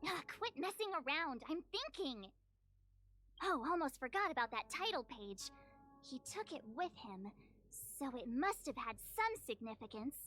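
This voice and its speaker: A woman's voice: high voice, big pitch swings, unhurried, fairly bright tone, faint music behind, exaggerated voice. A woman voicing a perky, eager teenage girl who plays detective with theatrical self-assurance, in anime-style game acting. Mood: musing.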